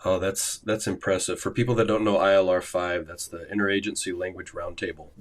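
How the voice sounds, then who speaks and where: A man's voice: sits low, steady tone, a little fast. A man hosting a language-learning livestream, chatting casually with many fillers and restarts.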